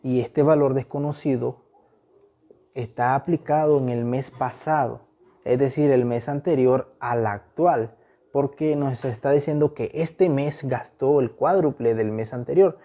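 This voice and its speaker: Patient mellow-voiced male math teacher. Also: mid-range voice, a little slow. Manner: patient, repeats key points with rising emphasis. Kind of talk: classroom math explanation.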